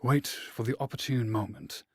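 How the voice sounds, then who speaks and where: A man from Indiana hosting an interview, asking questions in a relaxed, joking way. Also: mid-range voice, normal speed, husky, no room sound. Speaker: interviewer, host.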